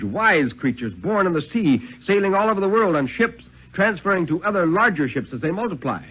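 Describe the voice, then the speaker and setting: A man giving a theatrical, suspenseful dramatic reading of a first-person thriller in 1950s radio style, with deliberate phrasing. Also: a little high, breathy edge. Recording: dull vintage 1950s radio recording.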